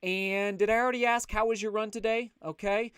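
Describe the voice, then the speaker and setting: A man hosting a casual livestream, chatting upbeat and loud while reading out viewer comments. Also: sits high, fairly even intonation, fairly quick, smooth, no room sound, clean studio sound. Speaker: livestream host.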